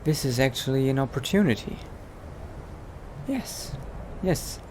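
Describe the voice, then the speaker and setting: A man playing a thoughtful scholar who is turning into a vampire, musing aloud haltingly in an improvised roleplay monologue. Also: mid-range voice, expressive, darkish tone, slight background noise, lightly acted. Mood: intrigued.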